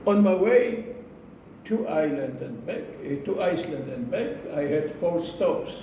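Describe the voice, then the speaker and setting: Male club speaker, deliberate and unhurried, repeats key phrases; recorded far from the mic in a noisy room. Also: on the high side, animated, dark tone, very echoey. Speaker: club speaker giving a speech. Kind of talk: prepared club speech to a live audience.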